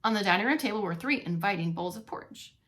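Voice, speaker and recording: A woman's voice: mid-range voice, animated, fast, fairly bright tone. A woman reading a story aloud to young children as a preschool teacher, expressively and with playful asides. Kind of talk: expressive children's read-along narration.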